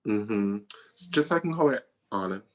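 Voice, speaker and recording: A woman's voice: extremely low pitch, expressive, moderate pace, darkish tone. A woman in her early thirties, a guest in a casual interview, talking in a playful, chatty way and laughing easily. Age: 30s. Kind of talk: casual chat.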